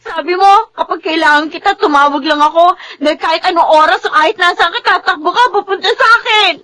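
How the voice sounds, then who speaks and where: A woman's voice: very high voice, fairly quick, bright, forceful tone. A woman acting in a Filipino radio drama as an anxious, emotional young soldier's wife and mother, with a Filipino accent. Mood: tearful, reproachful. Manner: tearful, pleading, scolding.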